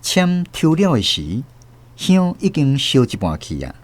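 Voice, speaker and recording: A man's voice: medium pitch, huge pitch swings, measured pace. A man with a Taiwanese Hokkien accent narrating a radio drama as a storyteller. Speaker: host and narrator.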